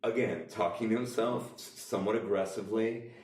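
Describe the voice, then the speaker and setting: Low-voiced man, echoey and off-mic. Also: fairly quick, a little mellow, far from the mic, a little hiss or hum. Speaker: podcast co-host. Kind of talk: casual comedic podcast banter and storytelling.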